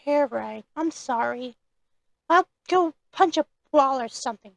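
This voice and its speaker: A woman's voice: sits high, animated, creaky, no room sound, full character voice. A woman voicing a grumpy, sassy young cartoon elephant babysitter with a petulant, dramatic manner. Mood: sullen, apologetic. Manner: petulant, dramatic, quick to whine and shout. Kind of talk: animated bedtime-story character banter.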